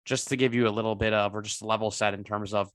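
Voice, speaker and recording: A man's voice: fairly low pitch, flat delivery, quick pace, a bit bright, dry recording, clean studio sound. A man in his twenties, a guest on an interview podcast, talking earnestly over a video call with many restarts. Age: 20s. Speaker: guest entrepreneur.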